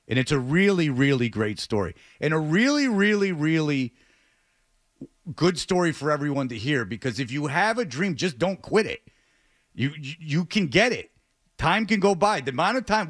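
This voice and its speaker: A man hosting a radio talk show, speaking off the cuff in a halting way with stammers and repeated words. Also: mid-range voice, no echo, studio quality. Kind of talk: unscripted radio talk show monologue and interview. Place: radio studio.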